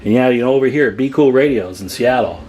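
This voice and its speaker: A man's voice: mid-range voice, fast talker, dark tone. An American man giving a casual, folksy hands-on demonstration as a video host, chatting to viewers as he works. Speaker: radio repair hobbyist and video host.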